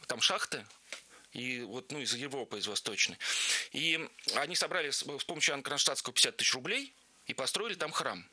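A man's voice: medium pitch, expressive, brisk, sharp tone, husky. A man being interviewed on the radio as a guest, telling long stories loosely, with many fillers and restarts. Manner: rambling anecdotes with many fillers. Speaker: guest, producer of Orthodox projects.

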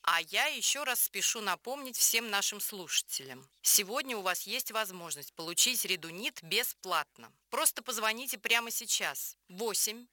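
A woman presenting a scripted radio infomercial in a polished, promotional, clearly enunciated way. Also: mid-range voice, animated, normal speed, few pauses, sharp tone, dry recording.

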